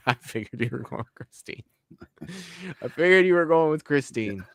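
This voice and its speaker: A man's voice: a little high, lively intonation, moderate pace, fairly bright tone, dry recording. A man hosting a casual live stream, talking loosely, laughing often and swearing offhand. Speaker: host.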